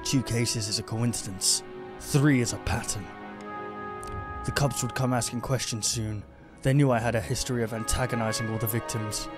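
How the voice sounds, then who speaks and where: A man voicing a brooding, haunted, self-doubting noir detective from a video game, in measured, moody hard-boiled narration. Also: mid-range voice, fairly even intonation, music in the background, close to an everyday voice. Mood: grim, anxious.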